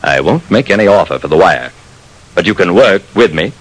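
A suave, dangerous gentleman crook from an old radio crime drama, acted by a male narrator. His voice sits low, smooth and measured, with calculated menace. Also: lively intonation, poor audio. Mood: coolly conspiratorial. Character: suave, menacing male gem-dealing hijacker. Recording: vintage radio broadcast sound.

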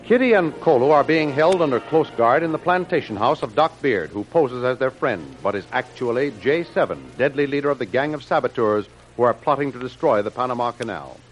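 A man's voice: medium pitch, animated, a little fast, hardly any pauses, lo-fi sound, soft background music. A man announcing and narrating an old 1930s radio serial in a declamatory, dramatic, scripted style.

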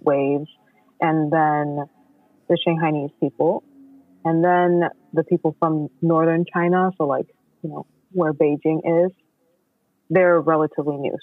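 Podcast guest: American male, higher-pitched voice, agreeable and explanatory, dry phone-quality audio. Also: no room sound. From New York City, United States. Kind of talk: casual interview conversation.

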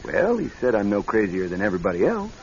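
An actor playing a jokey but anxious skydiving husband in a radio drama, with a casual way of talking. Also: male voice, on the low side, huge pitch swings, fast, a little mellow. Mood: joking, hesitant. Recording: muffled, hissy old radio recording.

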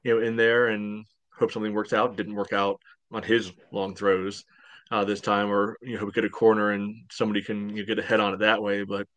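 A man's voice: fairly low pitch, flat delivery, brisk, a bit bright. A man speaking as a panelist in a casual sports podcast discussion.

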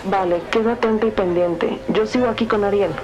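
A woman playing an alert police detective in an audio drama, brisk and collegial with a partner. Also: medium pitch, highs cut off, over music, lightly acted. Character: alert female detective coordinating with her partner.

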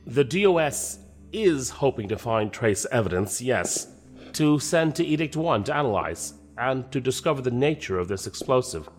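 A male actor as a guarded, stiffly polite security official in a sci-fi audio drama. His mid-range voice moves through lively inflections. Music runs softly behind him. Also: medium pitch, moderate pace, lightly acted. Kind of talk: science fiction audio drama acting.

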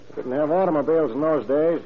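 A man's voice: fairly high pitch, fast talker, natural voice. A man playing an earnest, moralizing small-town deputy in an old-time radio crime drama, folksy and a little grumbling. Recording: dull, noisy old radio recording.

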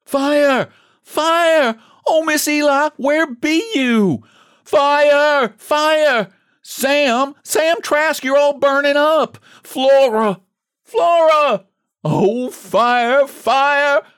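Guy doing a frantic blunt country woman in a storybook, way up high, breathless old rustic talk. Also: wildly swooping pitch, normal speed, few pauses, no echo, studio quality. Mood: panicked shouting. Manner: breathless exclamations in rustic old-fashioned dialect. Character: frantic rural woman crying fire, guilt-stricken, blunt.

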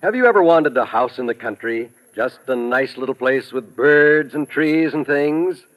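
A man's voice: mid-range voice, moderate pace, fairly bright tone, a little hiss or hum, rough recording. An American man reading a promotional announcement in an old-time radio style. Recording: vintage radio broadcast recording.

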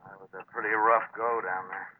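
An actor playing a cautious, worried salvage partner in an old-time radio suspense drama, with a concerned, hesitant, urging manner. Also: male voice, on the low side, flat delivery, brisk, natural voice. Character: anxious, cautious male salvage partner on the ship. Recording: tinny, lightly noisy old radio recording.

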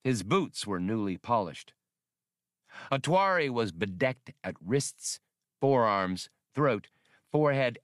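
Low-voiced man narrating, very lively intonation. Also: dry recording, studio quality. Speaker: audiobook narrator. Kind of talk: scripted novel narration.

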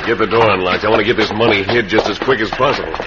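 An actor playing a greedy, edgy outlaw henchman in an old-time radio western, with rough, ungrammatical cowboy talk. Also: on the low side, steady tone, rapid-fire, close to an everyday voice. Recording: dull, noisy old radio recording.

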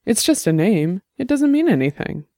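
A narrator voicing a nervous, defensive man whose voice rises high and shaky, near tears. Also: big pitch swings, moderate pace, a little mellow, no room sound, studio quality. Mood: defensive, shrill.

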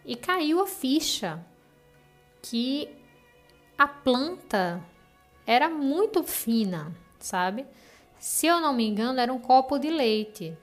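A woman with a Brazilian accent, casually telling a personal story as a caller in a halting, reflective way. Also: sits high, very animated. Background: music under the voice.

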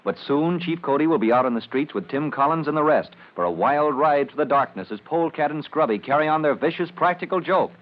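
A man's voice: fairly high pitch, animated, fairly quick, hardly any pauses. A man narrating and announcing an old-time radio serial in a formal, dramatic, scripted manner. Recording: muffled old radio recording.

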